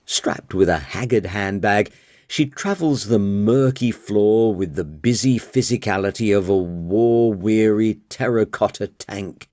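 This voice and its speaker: A man in his thirties reading a literary novel aloud as audiobook narrator, deliberate and wordy. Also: lowish voice, a little mellow, no room sound, clean studio sound.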